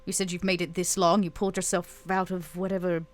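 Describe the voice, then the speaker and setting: A woman roleplaying a proud, guarded, bitter vampire woman in a tabletop game, speaking curtly and deliberately with intense pauses. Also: lowish voice, little pitch movement, close to an everyday voice. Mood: commanding.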